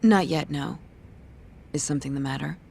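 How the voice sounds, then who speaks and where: A cool, composed and teasing female lawyer from a video game drama. Her voice is very deep and creaky, with faint music behind it. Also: moderate pace, darkish tone. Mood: calm. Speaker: voice actor in English game dub. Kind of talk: dubbed video game cutscene acting.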